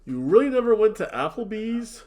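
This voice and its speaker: A man's voice: sits high, very animated, a little fast, darkish tone. Sniffly nasal man rambling casually. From New Jersey. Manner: rambling, joking, drinking while talking. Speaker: podcast co-host. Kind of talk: casual chat.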